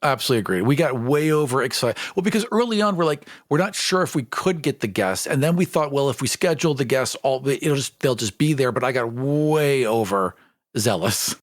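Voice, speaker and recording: A man's voice: mid-range voice, animated, brisk, dry recording, clean studio sound. A man talking casually as a podcast co-host and editor, candid and self-deprecating.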